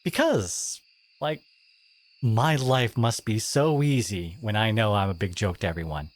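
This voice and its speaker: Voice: medium pitch, unhurried, lightly acted. An actor playing a brash teenage school bully who secretly cares, in an exasperated, confrontational audio drama monologue addressed to the listener. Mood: bitter, wounded. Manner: exasperated, confrontational, sighing, emphatic.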